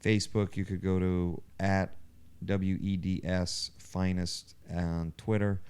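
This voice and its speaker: A man's voice: low-pitched, little pitch movement, takes it slowly, a little mellow, clean tone. A man hosting a casual podcast and chatting informally. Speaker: podcast host. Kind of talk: casual podcast chat with sponsor plug.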